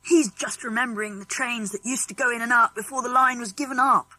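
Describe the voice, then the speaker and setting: Woman playing a high-voiced, sensible schoolboy. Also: fairly even intonation, a little fast, sharp tone, faint music behind. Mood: matter-of-fact. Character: sensible, confident older schoolboy. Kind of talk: children's audiobook character acting.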